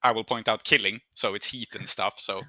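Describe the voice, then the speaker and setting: A man's voice: fairly low pitch, steady tone, a little fast, sharp tone, dry recording. A man working as game master, narrating and making rulings in a conversational way.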